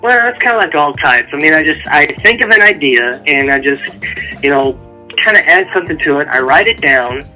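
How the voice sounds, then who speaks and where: Bright, sharp-voiced man, informal guest. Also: fairly high pitch, animated, a little fast, soft background music, low-quality recording. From Dallas, Texas, United States. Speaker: author, interview guest. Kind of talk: casual radio interview answers.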